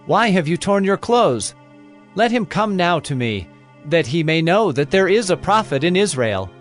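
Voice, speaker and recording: An actor playing a stern, commanding holy prophet in a dramatized audio Bible, speaking solemnly. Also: male voice, high voice, big pitch swings, moderate pace, soft background music. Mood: bold challenge.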